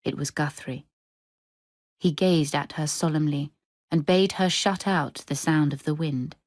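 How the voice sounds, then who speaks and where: A woman reading literary fiction for radio in a measured, descriptive storytelling manner. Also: low-pitched, darkish tone, no echo, studio quality. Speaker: short story reader. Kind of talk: scripted literary narration for radio drama.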